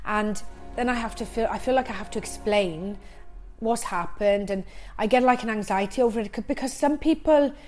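A woman's voice: medium pitch, moderate pace, a little mellow, faint music behind. Frank woman with a Welsh accent. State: has a cold. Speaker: interviewee. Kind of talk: casual talk in a news report.

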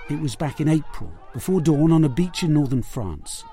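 A man's voice: fairly high pitch, animated, moderate pace, dark tone. A man narrating a scripted investigative news report as a correspondent.

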